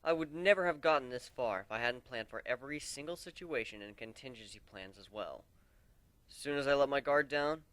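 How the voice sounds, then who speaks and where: A brooding griffin captain, as a male reader puts him on, wary and sardonic in a fantasy audio story. The voice is clear and smooth at a middle pitch, recorded close and dry, with earnest, theatrical delivery. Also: pushed and bright, dry recording. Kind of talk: dramatic reading of fan fiction.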